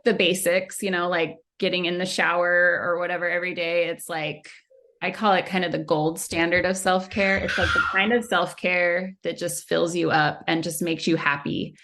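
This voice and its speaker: Rambling, low-voiced woman guest from California. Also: a bit bright. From Central California. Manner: rambling, conversational, many fillers. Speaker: relationship coach guest. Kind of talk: casual interview chat. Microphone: video call recording.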